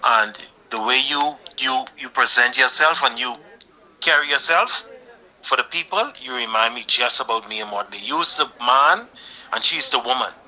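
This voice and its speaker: A man's voice: mid-range voice, moderate pace, sharp tone, rough recording. A Bahamian man calling in to a talk show, arguing earnestly and building up heat as he goes. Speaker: caller. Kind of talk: call-in opinion.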